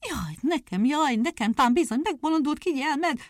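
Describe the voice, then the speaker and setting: A woman's voice: high voice, animated, no room sound, clean studio sound, voice pushed up. A woman voicing a fretful female hedgehog who wails and laments. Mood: wailing. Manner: wailing, lamenting.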